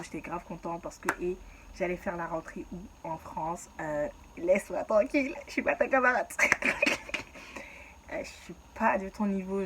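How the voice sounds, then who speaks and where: A woman's voice: on the low side, heavy background noise. A young woman from Ivory Coast telling her own story in a casual, slangy way and laughing at herself.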